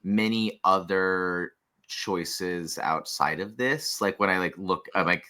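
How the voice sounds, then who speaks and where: A man's voice: sits low, slow pace, bright, forceful tone. A man co-hosting a podcast, offering casual, opinionated commentary in a conversational way. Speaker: podcast co-host reviewing drag runway looks.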